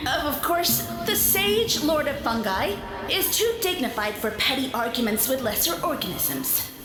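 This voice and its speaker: A woman's voice: extremely high pitch, reverberant, heavily acted. A woman voicing a pompous, self-proclaimed sage lord of fungi who talks down to everyone with grand, condescending speech.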